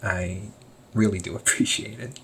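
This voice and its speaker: A man's voice: deep voice, fairly even intonation, normal speed, a bit bright, slight background noise. A young man playing an easygoing shop owner talking to his sibling in a one-sided audio roleplay, teasing and laughing often. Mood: wistful.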